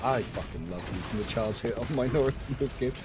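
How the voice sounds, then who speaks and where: A middle-aged man chatting casually while gaming, telling animated work stories and acting out what others said. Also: medium pitch, brisk, music in the background. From England. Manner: animated storytelling, acting out quotes with laughs. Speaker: stream guest. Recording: background noise under voice.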